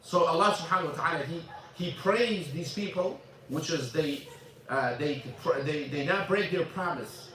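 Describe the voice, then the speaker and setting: High-voiced imam teaching in an echoey mosque. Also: male voice, bright, forceful tone, slight background noise. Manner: halting, repeats words, switches into Arabic. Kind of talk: informal religious lesson with questions. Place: mosque classroom. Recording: echoey room, mic at a distance.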